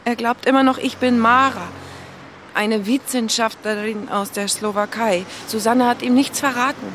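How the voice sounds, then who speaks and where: A woman's voice: a little high, fairly even intonation, normal speed, a bit bright, slight background noise, natural voice. An actress playing a nervous, candid young woman in a radio play, with a hesitant, confiding manner. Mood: uneasy. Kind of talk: naturalistic radio play dialogue.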